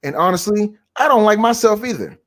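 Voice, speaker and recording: A man's voice: sits high, expressive, fairly quick, a bit bright. A man chatting casually as a co-host, talking in an emphatic, slangy way. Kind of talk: casual chat.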